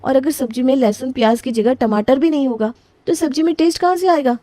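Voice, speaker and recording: A woman's voice: high voice, fast, dark tone, dry recording, lightly acted. A woman voicing a young newlywed daughter-in-law who is flustered and put-upon, pleading and grumbling in a dry close recording. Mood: defensive. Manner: flustered, pleading, whiny complaining. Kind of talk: scripted family story voicing.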